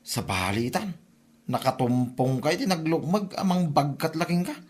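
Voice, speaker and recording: A man's voice: a little high, animated, moderate pace, a little mellow, breathy edge. A man with an Ilocano accent playing a needling drinking buddy who mocks his pal in a comedy drama podcast. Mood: mocking.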